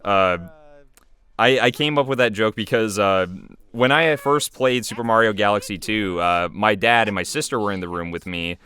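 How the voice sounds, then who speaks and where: Low, smooth-voiced young man chatting casually. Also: moderate pace, pushed and bright. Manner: chatty, joking. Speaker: web series creator and voice actor. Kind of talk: casual video commentary.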